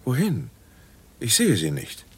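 An actor playing a protective, fond middle-aged father and general in a radio drama, with a husky, breathy voice. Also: mid-range voice, wildly swooping pitch, slow, exaggerated voice. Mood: anxious, puzzled.

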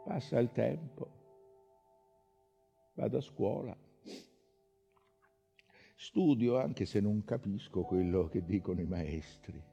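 A haunted, dreamy son of a sailor in an intimate stage monologue. He speaks slowly and hesitantly, with a creaky, dark voice. The sound is dull and lo-fi. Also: fairly low pitch. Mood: sombre, haunted. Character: wistful, haunted sailor's son recalling his childhood. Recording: dull lo-fi sound, faint music.

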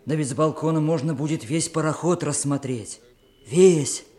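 An actor playing an eager, pleading young troublemaker in a 1960s radio play. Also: male voice, fairly high pitch, normal speed, dark tone, lightly acted. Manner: earnest, pleading.

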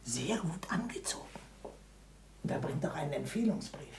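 Practical housekeeper, hushed, urging, anxious, roomy, clearly noisy old radio drama. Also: female voice, low voice, animated, moderate pace. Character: housekeeper and mother, practical, anxious about money. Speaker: actor in a radio play. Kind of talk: 1960s German radio drama acting.

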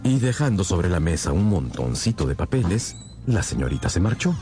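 A man's voice: sits low, big pitch swings, normal speed, music in the background. An actor playing a detective's loyal male companion, narrating the case in a radio drama with literary, storytelling phrasing. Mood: composed, descriptive.

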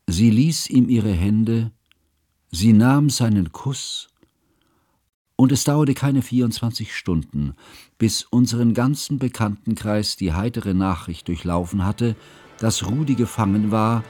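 An actor playing a sad, elderly scholar who narrates in a formal, reflective, literary manner for a radio drama. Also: male voice, lowish voice, warm tone, no room sound, clean studio sound. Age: older. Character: sad, elderly scholarly man recounting a friend's tragedy. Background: music.

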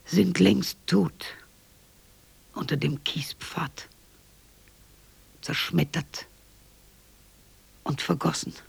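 An actor playing a broken, bitter old man in a radio drama monologue, hoarse and halting, often breaking into whispers. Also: a little high, no echo, full character voice. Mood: haunted, muttering.

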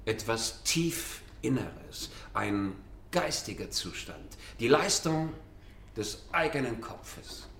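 Declamatory professor in an echoing room. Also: male voice, medium pitch, very animated, a bit bright, full character voice. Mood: didactic, assured. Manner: declamatory, didactic, emphatic pauses. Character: self-assured male philosophy professor lecturing in East Berlin. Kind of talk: university lecture in a radio drama. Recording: roomy, distant lecture hall, background noise.

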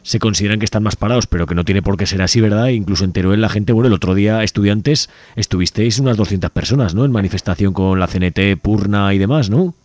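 A man's voice: lowish voice, fast talker, a little mellow, no echo. A man from Spain hosting a participatory community radio show, interviewing a caller and encouraging him with short acknowledgements. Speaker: radio host.

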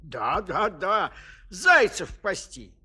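Old peasant dad, husky, slow, folksy, fretful, storytelling, children's audio play. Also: male voice, high-pitched, big pitch swings, sharp tone, heavily acted. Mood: folksy, rueful. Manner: folksy, lamenting, exclaiming and repeating himself. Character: elderly fretful peasant father of three sons. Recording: 1970s studio audio play.

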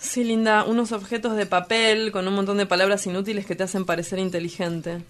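Radio drama: woman as blunt, cynical young male Argentine street poet, idle and crude, mid-pitched. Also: flat delivery, fairly quick, lightly acted. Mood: cynical teasing. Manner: blunt, vulgar, teasing.

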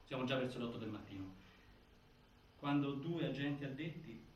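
Casting: male actor as grave stage narrator, mid-pitched, deliberate segmented phrasing, heavily reverberant room. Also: muffled recording, close to an everyday voice. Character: grave male narrator laying out a prison-death inquiry. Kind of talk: dramatic scripted stage narration. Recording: very echoey, distant, faint noise.

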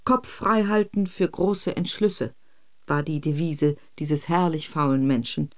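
A woman playing a frank diarist, reading her entries in crisp, clipped phrases in a radio drama. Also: sits low, a little slow. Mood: sober, admiring. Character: frank, admiring woman diarist remembering a family friend.

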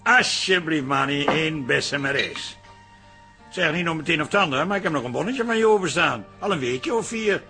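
Exasperated, high-voiced husband. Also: male voice, expressive, a little fast, pushed and bright, faint music behind. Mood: weary complaining. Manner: exasperated, sarcastic. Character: exasperated, loud husband and pub keeper who loves football. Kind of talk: radio drama acting.

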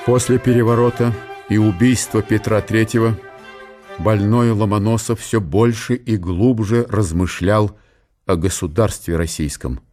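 Grave narrator for historical audio drama; somewhat deep voice, measured literary delivery, music behind. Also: male voice, a little mellow. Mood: solemn, measured. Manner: measured, solemn. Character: sober storyteller of a historical tale.

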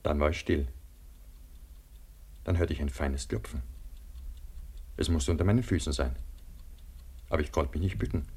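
An actor playing a frightened man buried alive under avalanche snow, halting and brooding, in a German radio play. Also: rumbling low, a little mellow, breathy edge. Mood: haunted, numb. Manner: halting, brooding inner narration breaking into desperate calls. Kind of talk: German radio play acting, monologue narration and dialogue.

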